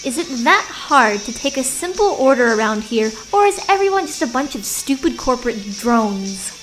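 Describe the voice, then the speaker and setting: A woman's voice: sits high, very animated, moderate pace, a bit bright, faint music behind, rough recording. A woman playing a brash, mocking young punk customer with a demanding manner in an audio drama. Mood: impatient. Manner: demanding, sneering.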